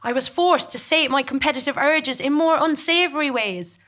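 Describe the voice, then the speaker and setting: A woman's voice: high-pitched, normal speed, a bit bright. A woman performing a scripted comic stage monologue as a ruthless, competitive gambler, with dry, dramatic storytelling. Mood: wry, boastful. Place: theatre stage in front of an audience.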